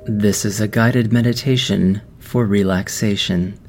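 Male meditation guide, very slow, soothing, low voice, music underneath. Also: fairly even intonation. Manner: slow, soft, soothing delivery with long pauses.

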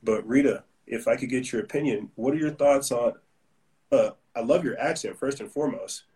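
A man's voice: mid-range voice, normal speed, mellow, recorded from a distance. A man hosts a casual interview, asking long, rambling questions in an upbeat tone. His sentences often restart. He sits a little back from the microphone. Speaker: host.

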